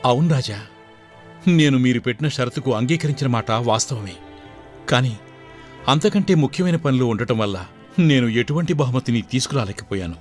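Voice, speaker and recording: An actor playing a brave, humble young prince in a folk tale, speaking respectfully and formally. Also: male voice, mid-range voice, animated, normal speed, pauses often, faint music behind, natural voice. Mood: apologetic. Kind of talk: dramatised Telugu folk tale.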